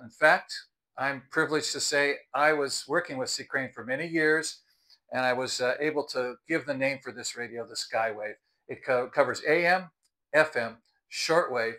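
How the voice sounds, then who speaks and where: Bright-voiced older American man explaining. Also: medium pitch, low-quality recording. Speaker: product review host. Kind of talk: unscripted explainer to camera. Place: outdoors on a house porch by the front door.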